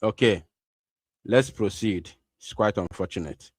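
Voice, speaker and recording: A man's voice: on the low side, measured pace, slightly rough, no echo, clean studio sound. A man hosting a live online broadcast, talking to listeners in an urgent, insistent way and repeating his phrases.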